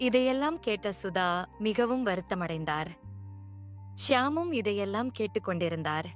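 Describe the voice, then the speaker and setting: Lady narrating a kids cartoon story, even storytelling tone, music in the background. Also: mid-range voice, normal speed. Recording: music bed behind.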